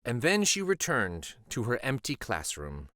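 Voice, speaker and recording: A man reading a children's picture book aloud as narrator, with expressive, dramatic emphasis. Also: mid-range voice, a little slow, no room sound, studio quality. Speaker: children's storybook reader and host.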